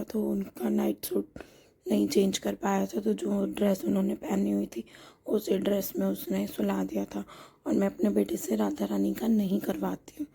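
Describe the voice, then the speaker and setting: A hoarse woman vlogger narrating her daily home routine in a chatty, homely way, explaining each step as she goes. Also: mid-range voice, dark tone. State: hoarse from a sore throat.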